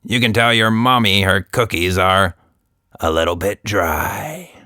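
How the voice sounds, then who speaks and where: A man's voice: low voice, lively intonation, unhurried, sharp tone, no echo, clean studio sound, heavily acted. A man voicing a small, mocking, cat-like red monster who bullies with feigned innocence in a children's story. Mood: mocking, taunting.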